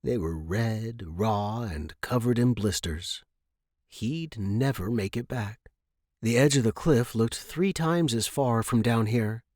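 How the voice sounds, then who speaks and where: A man's voice: mid-range voice, slow, darkish tone, no room sound, clean studio sound. A man narrating a scripted adventure story as a reader of fiction.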